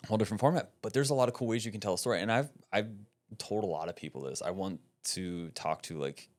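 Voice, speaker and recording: Male podcast guest, low voice, emphatic and conversational, explains with animated energy. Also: fast talker. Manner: animated, emphatic, often ends points with "right?". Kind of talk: casual conversational interview.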